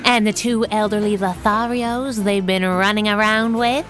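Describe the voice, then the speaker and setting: An actress playing a sardonic, playful crime-fighting partner in a pulp radio drama, with dry teasing delivery. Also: female voice, medium pitch, steady tone, moderate pace, fairly bright tone, soft background music, natural voice. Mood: teasing, wry.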